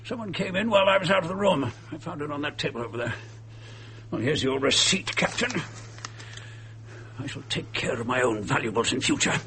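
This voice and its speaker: An actor playing an irritable, imperious lord in a radio drama, brusque and haughty, with a British accent. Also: male voice, fairly high pitch, expressive, fairly quick, sharp tone, slight background noise. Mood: impatient, haughty. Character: irritable, imperious male passenger, a posh impostor lord.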